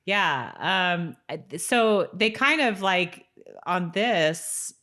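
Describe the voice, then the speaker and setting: A woman's voice: lowish voice, lively intonation, slow pace, fairly bright tone. A woman co-hosting a podcast, recapping a TV show in a casual, agreeable, conversational way. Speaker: podcast co-host. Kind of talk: casual TV-recap chat with some read-out episode summary.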